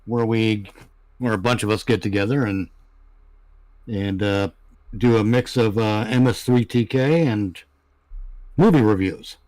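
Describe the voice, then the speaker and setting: A man chatting informally and haltingly as a panel member on a live stream. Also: fairly low pitch, darkish tone, no echo. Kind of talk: casual live stream chat.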